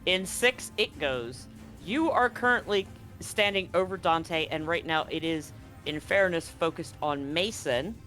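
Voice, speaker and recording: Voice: medium pitch, expressive, a little slow, bright, forceful tone, soft background music. A game master running a live tabletop roleplaying game, talking casually and jokily with some swearing.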